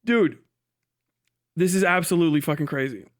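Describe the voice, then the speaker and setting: A high-voiced man hosts a casual reaction stream. He talks in incredulous, mocking slang and swears heavily. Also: normal speed. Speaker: livestream host reacting to a video. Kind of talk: casual profane reaction commentary.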